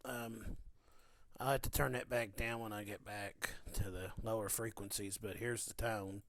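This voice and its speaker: A man's voice: fairly low pitch, steady tone, unhurried, vocal fry, noisy background. A man giving a casual, informal hands-on demonstration as a hobbyist, with folksy phrasing, close to the microphone.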